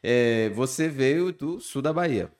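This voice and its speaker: A man's voice: medium pitch, expressive, takes it slowly, darkish tone. Jokey, chummy podcast host man. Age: 40s. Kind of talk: casual podcast hosting.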